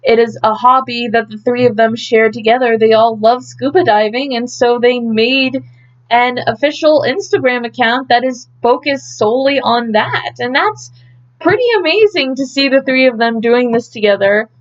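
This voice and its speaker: A woman's voice: fairly high pitch, moderate pace. A woman hosting an idol news update as a casual recap, chatty and a bit hesitant as she thinks aloud, on a slightly dull home recording.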